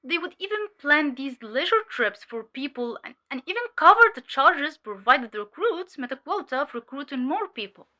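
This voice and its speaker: Female infiltrator played by an actress for audio drama: highish, crisp-edged voice, measured and hesitant testimony, weary but sharp. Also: big pitch swings, heavily acted. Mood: matter-of-fact.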